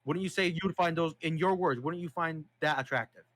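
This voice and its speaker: A man's voice: on the high side, fairly quick, a bit bright, no room sound, poor audio. A man in an online voice chat argument, cross-examining with pressing, repeated questions. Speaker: debate participant.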